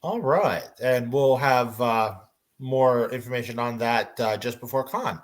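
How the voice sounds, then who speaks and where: A man's voice: mid-range voice, a little slow. Man hosting an informal fan panel. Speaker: panel host.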